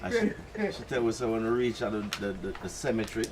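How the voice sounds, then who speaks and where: Deep-voiced older Jamaican man, noisy room. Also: sing-song. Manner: unhurried, rambling, with Jamaican patois. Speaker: guest at a press conference. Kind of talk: relaxed storytelling.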